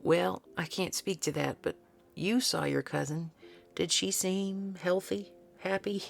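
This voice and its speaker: Creaky, sardonic woman researcher, acted by a woman. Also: on the low side, fairly even intonation, a little slow, soft background music. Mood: sardonic, resolute. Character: weary, sardonic, stubborn woman researcher and doctor. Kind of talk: audio drama acting.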